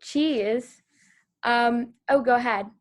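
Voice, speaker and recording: A woman hosting a read-aloud for children over a video call, reading the story and asking them gentle questions. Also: a little high, slow pace. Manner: gentle, encouraging, with small restarts.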